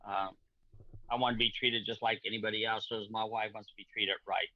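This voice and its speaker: A man's voice: lowish voice, level tone, no room sound. Man from Portugal, middle-aged, repeats himself, dry muffled call audio. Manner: halting, repeats words, friendly. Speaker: guest, car repair shop owner. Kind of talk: casual video-call chat. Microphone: video call. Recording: dull lo-fi video-call audio.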